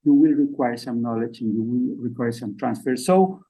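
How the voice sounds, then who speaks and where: Halting, husky-voiced man with a mellow tone. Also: mid-range voice, poor audio. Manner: halting, repeats words, measured. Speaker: trade agency director. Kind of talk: business interview.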